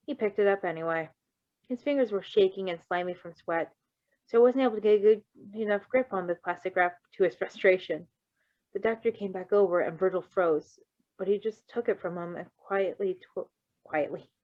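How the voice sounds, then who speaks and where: A woman's voice: lowish voice, no echo. A woman reading a story aloud as a narrator, with playful casual asides. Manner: playful, breaks off to riff. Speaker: reader of fan fiction. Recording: dry, slightly muffled recording.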